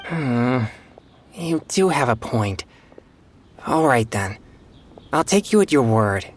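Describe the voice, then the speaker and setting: A woman's voice: extremely low pitch, big pitch swings, slow pace, heavily acted. A woman voicing an earnest, gullible teenage boy demon hunter in a video game. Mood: pondering. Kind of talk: video game dialogue acting.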